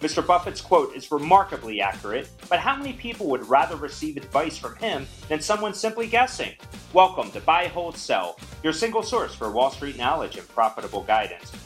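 A man's voice: on the high side, big pitch swings, brisk, a bit bright. A man delivering a scripted promo for his finance podcast, emphatic and salesy. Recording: faint music bed underneath.

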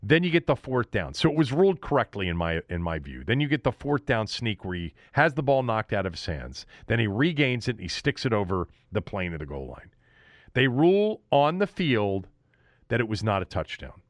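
Male sports radio host, American accent, blunt and emphatic, lively swinging intonation, dry studio-tight sound, opinionated game recap. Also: fairly low pitch, normal speed, no room sound. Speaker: sports talk show host.

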